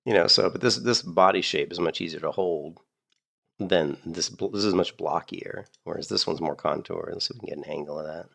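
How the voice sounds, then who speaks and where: A man in a casual, rambling chat about guitars, losing his voice a little and clearing his throat often. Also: low voice, fairly quick, a bit bright. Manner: rambling, repeats himself, frequent throat clearing. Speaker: streamer.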